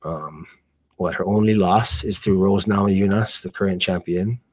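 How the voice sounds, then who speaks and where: A man giving casual, slangy live fight commentary, thinking aloud in a halting way. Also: low voice, fairly even intonation, darkish tone, breathy edge, dry recording. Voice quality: slangy, informal speech.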